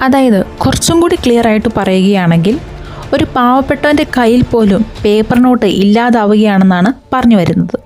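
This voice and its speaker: A woman's voice: a little high, fairly quick, music in the background. A woman hosting an explainer video, talking viewers through it step by step with rhetorical questions. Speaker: video channel host.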